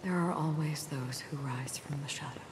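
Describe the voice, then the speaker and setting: Woman acting a soft, solemn wandering swordswoman, echoing. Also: low-pitched, background noise, natural voice. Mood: quiet, melancholy. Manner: soft, measured, subdued. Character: solemn, melancholy wandering swordswoman, calm and distant. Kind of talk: video game voice acting. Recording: echoey game audio with music.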